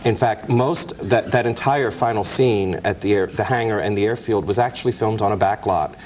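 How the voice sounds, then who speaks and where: A man's voice: fairly low pitch, moderate pace, darkish tone, low-quality recording. A man speaking as an author guest, telling anecdotes in an explanatory, storytelling way. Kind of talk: anecdotal film-history interview.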